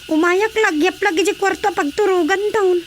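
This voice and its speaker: A woman's voice: extremely high pitch, normal speed, slight background noise. A woman with an Ilocano accent playing a timid, hesitant young married woman in a Filipino radio drama.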